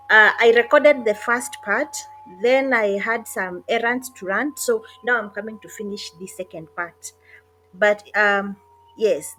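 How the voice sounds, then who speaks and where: A woman narrating an online job application walkthrough in a casual, hesitant way, with frequent pauses and self-corrections. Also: mid-range voice, a bit bright, slight background noise, rough recording. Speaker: online job tutorial creator. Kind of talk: casual screen-recorded walkthrough.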